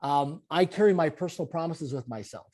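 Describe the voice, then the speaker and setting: A man in his late forties from Canada speaks as an interview guest, his voice high for a man and warm, rounded in tone. He drives his points home with emphatic, repetitive motivational phrasing. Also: lively intonation, a little fast. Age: 40s. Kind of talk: conversational interview.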